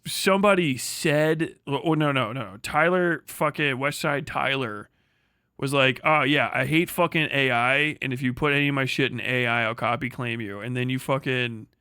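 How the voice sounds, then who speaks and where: A man's voice: medium pitch, sharp tone, clean tone, dry recording, studio quality. A man livestreaming a casual, rambling rant, full of profanity and slang, often stammering over repeated words. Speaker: live streamer, online commentator.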